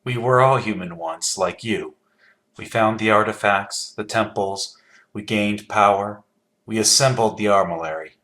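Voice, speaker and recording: A grave, forceful low-voiced figure. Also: male voice, unhurried. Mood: solemn, ominous. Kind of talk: video game character dialogue.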